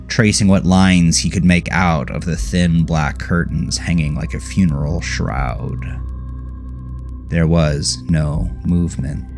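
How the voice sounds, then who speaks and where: A man narrating a scripted horror story in a measured, dramatic way, letting phrases trail off low. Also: darkish tone. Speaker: audiobook narrator.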